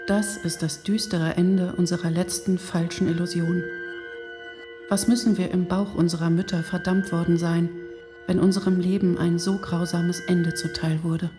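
An actress playing a condemned woman camp prisoner in a radio drama, speaking gravely and deliberately with bitter accusation. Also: fairly low pitch, flat delivery, close to an everyday voice. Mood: grave, despairing. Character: doomed women camp prisoners, one a defiant mother, bitterly accusing. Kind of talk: dramatised reading of testimony for radio. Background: music.

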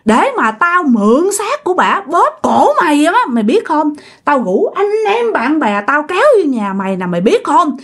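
A vengeful female ghost, acted by a woman storyteller, with a high, wildly swooping Southern Vietnamese voice. She is jealous and bitter, hammering repeated accusations and curses at the one who betrayed her. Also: very animated, normal speed, a bit bright, slightly rough, heavily acted. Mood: vengeful, cursing. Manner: accusing, repeats phrases insistently, bursts of menacing laughter.

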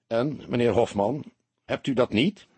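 A man voicing a laconic police detective in an audiobook, calm, unhurried and politely needling. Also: mid-range voice, husky, no echo. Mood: calm, accusing. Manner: unhurried, dry, politely provocative. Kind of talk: audiobook character voice.

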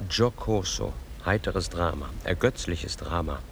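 An opera-goer in a naturalistic radio play. His voice is low-pitched and his talk is short, dry and conversational. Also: expressive, measured pace, a little hiss or hum. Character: laconic opera-goer chatting, dryly explaining terms.

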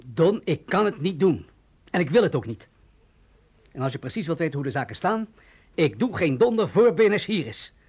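A man's voice: fairly high pitch, very animated, normal speed, darkish tone, husky. A man acting in a radio drama as a tense, stubborn army general with an emphatic, insistent way of talking. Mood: angry, firm.

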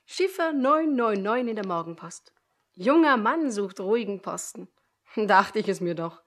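A woman's voice: medium pitch, lively intonation, measured pace, fairly bright tone. A mocking young woman in a radio drama. She has a mid-range voice and a sarcastic, exasperated way of needling. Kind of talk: German radio play acting.

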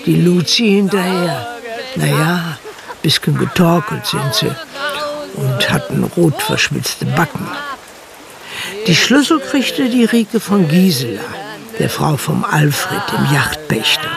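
A high, gravelly village storyteller. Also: male voice, sing-song, takes it slowly, a crowd in the background, exaggerated voice. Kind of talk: radio play narration.

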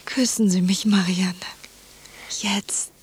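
A woman's voice: mid-range voice, fairly even intonation, slow pace, slightly rough. An actress playing a wilful, languid woman in a flirtatious affair, speaking formal, stylised lines in a German radio play. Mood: intense. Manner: formal, poised, stylised diction.

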